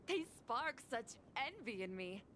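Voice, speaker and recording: A woman's voice: a little high, sing-song, unhurried, fairly bright tone. An actress playing a wistful female video game character in scripted dialogue. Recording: noisy game audio with ambience.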